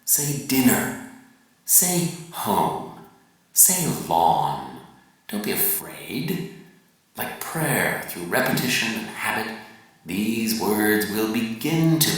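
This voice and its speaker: A man giving a dramatic literary reading as a pompous, preachy coach of realtors, lecturing emphatically with deliberate pauses. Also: fairly low pitch, sing-song, exaggerated voice. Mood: hushed, coaxing. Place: large echoey venue, live reading. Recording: very echoey room, far from mic.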